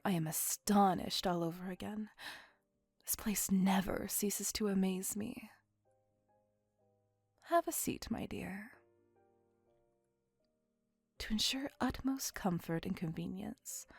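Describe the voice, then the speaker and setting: A woman voicing an elegant, possessive, centuries-old female vampire in a soft, half-whispered ASMR roleplay, with formal, measured, old-fashioned phrasing. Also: medium pitch, gravelly, breathy edge, no room sound, faint music behind, full character voice. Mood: charmed, gracious. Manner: formal, archaic, measured phrasing with tag questions.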